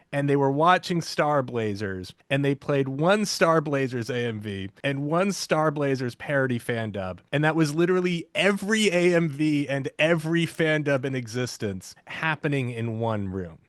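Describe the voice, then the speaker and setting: A male host chats in a mid-pitched voice with a dry, close sound. He is informal and enthusiastic, as in a relaxed podcast interview. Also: no room sound. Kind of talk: casual interview chat.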